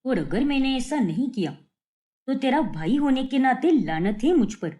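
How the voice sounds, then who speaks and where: A woman's voice: high voice, big pitch swings, normal speed, warm tone. A woman voicing a caring, doting young brother in a cartoon family story. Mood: affectionate.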